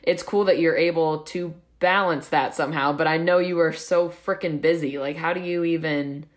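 A woman's voice: low-pitched, fairly even intonation, normal speed. A woman hosting a podcast, asking questions in a friendly, chatty way with casual slang. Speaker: podcast host. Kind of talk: casual interview chat.

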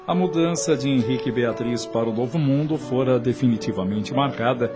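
Guy narrating a radio novela, slow and solemn, music playing under him. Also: mid-range voice. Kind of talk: scripted narration of a radio drama.

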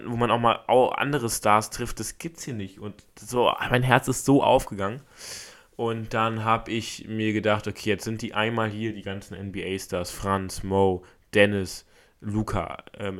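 A slightly hoarse young man from Germany, hosting a casual solo podcast and telling a story in an excitable, rambling way with many fillers and restarts. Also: fairly low pitch. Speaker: podcast host.

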